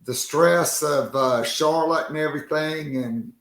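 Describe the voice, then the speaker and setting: A man hosting a sports podcast, talking casually and jokingly with a worn-out, hoarse voice. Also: on the high side, fairly bright tone, reverberant. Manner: loose and joking, slow drawn-out delivery. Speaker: podcast host.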